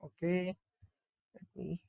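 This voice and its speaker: A man giving an informal step-by-step software tutorial, often asking and answering his own questions as he explains. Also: high voice, flat delivery, slow pace, creaky, no echo, highs cut off. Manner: repetitive explaining with rhetorical questions.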